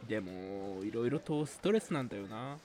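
A man's voice: lowish voice, expressive, a little fast, darkish tone, natural voice. A man playing a cynical, womanizing university student with a blunt, grumbling way of talking in an amateur radio drama. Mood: teasing.

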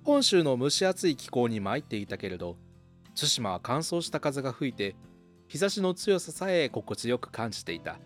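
A man's voice: medium pitch, very animated, normal speed, faint music behind, natural voice. A man playing an upbeat young university student who narrates and chats in an amateur radio drama. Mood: reflective narration. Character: upbeat young male university student recounting summer memories.

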